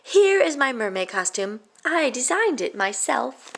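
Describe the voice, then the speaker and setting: A woman with a fairly high voice reads a children's picture book aloud. Her delivery is expressive and animated, shaped for young listeners. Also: measured pace, creaky. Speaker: storybook reader.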